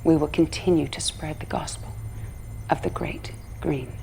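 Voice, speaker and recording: A woman's voice: deep voice, expressive, normal speed, creaky, a little mellow, a little hiss or hum. A woman playing a devout militant propagandist, narrating a scripted game cutscene with solemn, earnest conviction. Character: devout female propagandist of a militant brotherhood, zealous.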